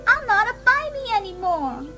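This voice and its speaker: Indignant young filly, very high childlike voice, cartoon audio drama, music underneath. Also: female voice, big pitch swings, moderate pace, pushed and bright, full character voice. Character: indignant teenage girl pony, high childlike country voice. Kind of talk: fan fiction audio drama acting. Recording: music behind the voice.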